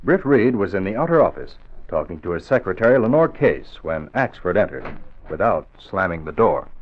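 An actor as a 1940s radio serial announcer with an American accent, narrating in a dramatic, declamatory way. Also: male voice, low voice, big pitch swings, moderate pace. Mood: measured storytelling. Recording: dull old radio broadcast recording.

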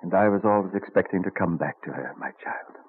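A man's voice: deep voice, a little fast, slight background noise, lightly acted. A man playing a genial, scholarly old statesman and inventor in an old-time radio drama, musing fondly and savouring his words. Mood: fond, proud. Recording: muffled vintage radio recording.

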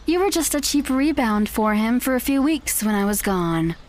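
A woman's voice: fairly high pitch, very animated, moderate pace, darkish tone, slight background noise. A woman playing a cold, condescending ex-fiancée. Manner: condescending, dismissive. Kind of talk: audio drama acting.

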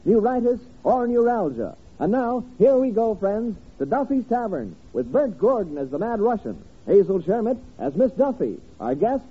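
A male radio announcer in the 1950s manner, high-pitched and widely inflected. His husky voice delivers formal, emphatic sales copy through a tinny, narrow old broadcast. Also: big pitch swings, normal speed, hardly any pauses.

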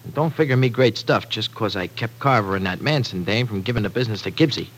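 An American actor playing a lovestruck young tough guy in an old-time radio drama, talking in halting, slangy, streetwise speech. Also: on the low side, expressive. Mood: self-deprecating. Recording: noisy vintage radio recording.

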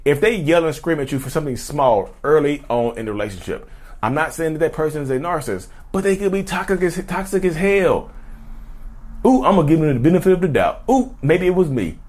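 A man talking casually to camera, chatty and rambling, who keeps calling his viewers y'all and wanders off into sidebars. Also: a little high. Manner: repeats and restarts, drifts into sidebars. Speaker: YouTuber talking about narcissism. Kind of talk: casual direct-to-camera talk.

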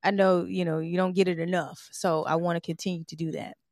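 A woman's voice: lowish voice, level tone, brisk, a little mellow, no echo. Woman, 40s, from Tennessee, interview host, rambling casual talk, dry close sound. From Tennessee, USA. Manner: rambling, uses fillers like "you know" and "like".

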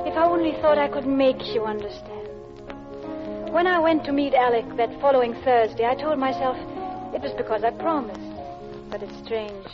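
A woman's voice: on the high side, natural voice. An actress playing a married Englishwoman torn by guilty love, hesitant and confiding, in 1940s radio drama style. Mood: confessional, wistful. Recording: muffled vintage 1940s radio recording.

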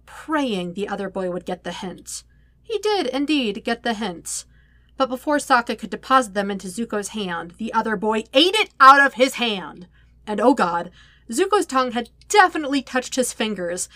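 Bright-voiced woman reading a story. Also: mid-range voice, dry recording. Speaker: podfic narrator, reading own fan fiction. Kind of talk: expressive scripted story narration.

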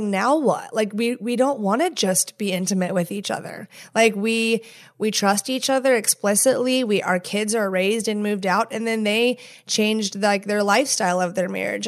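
A woman talking casually and candidly in an interview, frank and swearing freely. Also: medium pitch. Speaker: podcast host appearing as guest.

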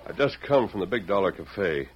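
An American actor playing a steady, resolute western hero in old-time radio style, with measured, firm and terse delivery. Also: male voice, full character voice. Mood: earnest, resolute. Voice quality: deep, husky. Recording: dull, lo-fi old radio recording.

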